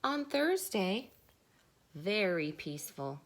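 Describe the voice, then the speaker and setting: A woman, a teacher, reading a children's story aloud with deliberate dramatic pauses. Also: medium pitch, very animated.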